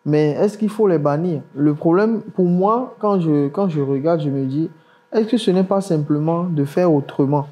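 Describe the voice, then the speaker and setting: A man's voice: a little high, normal speed, warm tone, lo-fi sound. A man hosting a casual podcast, curious and questioning, thinking aloud as he asks his guest things. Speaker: podcast host.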